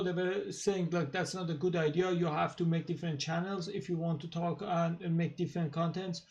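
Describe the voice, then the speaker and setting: A man with a thick Persian accent talks on his own channel in a fairly high voice. He speaks casually and haltingly, full of fillers and restarts. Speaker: channel host. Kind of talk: casual monologue to camera.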